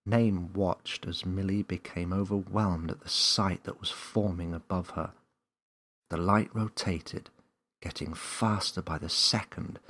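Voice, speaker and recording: A man's voice: low-pitched, fairly bright tone. A man reading a children's story aloud as a scripted narrator.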